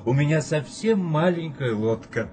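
An actor playing a courteous, mysterious ferryman of the dead with a measured, polite manner. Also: a little high, lively intonation, darkish tone, a little hiss or hum. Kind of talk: audio drama acting.

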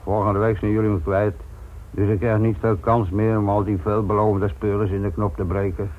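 Casting: male actor for older, biting, cynical police inspector in radio drama; gruff, sardonic, narrow-band vintage broadcast sound. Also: lowish voice, little pitch movement, fairly quick, natural voice. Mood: sardonic, blunt. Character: cynical, stubborn police inspector near retirement, biting and blunt. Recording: dull, band-limited old radio recording.

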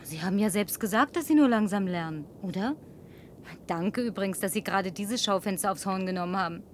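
A woman's voice: mid-range voice, a little fast, a little hiss or hum, lightly acted. An actress in a German radio play, playing a flirtatious, confident woman with a playful, teasing manner. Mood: teasing, grateful. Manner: teasing, coquettish. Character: flirtatious, confident, playful woman driving instructor in post-apocalyptic city.

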